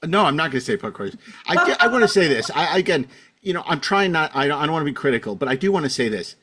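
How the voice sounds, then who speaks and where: A man's voice: fairly high pitch, very animated, fast talker, fairly bright tone. A man hosting a casual panel discussion, arguing his opinions emphatically and often repeating himself. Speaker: podcast host.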